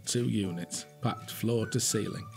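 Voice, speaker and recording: An older British man acting a shrewd, blunt, gruffly affectionate detective inspector and husband in a naturalistic radio drama. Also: lowish voice, measured pace, darkish tone, faint music behind. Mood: quietly pleased. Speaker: actor in an audio drama.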